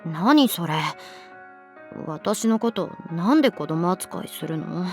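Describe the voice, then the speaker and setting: A woman's voice: fairly low pitch, animated, takes it slowly, a little mellow, soft background music. A woman playing a sulky, lovesick teenage schoolgirl in a Japanese voice drama, speaking bluntly and hesitantly. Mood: sulky, defensive. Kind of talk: Japanese voice drama acting with hushed inner monologue.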